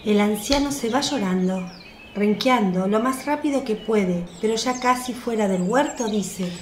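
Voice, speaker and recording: A woman's voice: medium pitch, a little slow. A woman narrating a radio play in a scripted storytelling style. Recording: roomy echo, faint birdsong noise.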